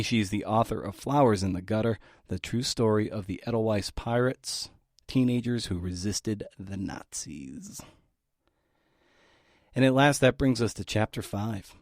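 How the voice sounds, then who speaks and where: A man's voice: fairly low pitch, a little mellow, dry recording, clean studio sound. A man hosting a podcast, introducing material from notes with casual, conversational asides. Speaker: podcast host. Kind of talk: introducing essays, partly scripted.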